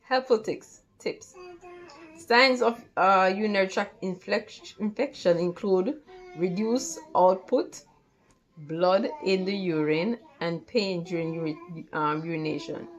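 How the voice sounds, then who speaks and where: A woman tutor reading study material aloud in a careful, halting way, with stumbles and repeated words. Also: mid-range voice, lo-fi sound. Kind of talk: read-along lesson from a textbook.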